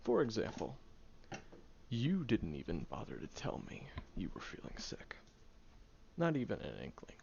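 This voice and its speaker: A man's voice: on the low side, huge pitch swings, moderate pace, gravelly, darkish tone, exaggerated voice. A man playing a sarcastic, exasperated tsundere young man who grudgingly looks after someone in an audio roleplay, speaking straight to the listener. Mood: dry, scolding. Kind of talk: audio roleplay acting, spoken directly to the listener. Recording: dull lo-fi audio with faint noise.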